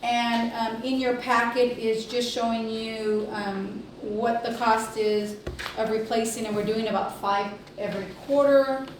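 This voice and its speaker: Female executive, fairly high voice, halting board-meeting report, very echoey room with distant mic. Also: level tone, a little hiss or hum. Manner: hesitant, halting delivery with long pauses. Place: indoor public meeting room.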